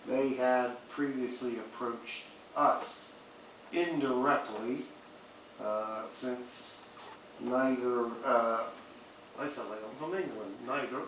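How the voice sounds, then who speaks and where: A man's voice: mid-range voice, steady tone, slow, a bit bright. A man serving as a city commissioner makes blunt, plain-spoken clarifying remarks at a public meeting. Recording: very echoey room, distant mic, faint noise.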